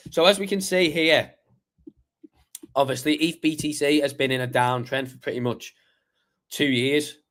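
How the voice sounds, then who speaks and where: A man hosting a crypto livestream with a slightly croaky voice, talking through charts in a chatty, rambling way with many fillers and restarts. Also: on the high side, steady tone, moderate pace, long pauses, a bit bright. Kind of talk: casual live chart analysis.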